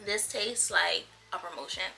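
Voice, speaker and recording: A woman's voice: fairly low pitch, a little slow, creaky, bright, forceful tone, breathy edge, faint music behind, poor audio. A woman of twenty-seven from the Bahamas chatting casually to her viewers as a YouTuber, playful, effusive and slangy. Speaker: YouTuber, vlogger.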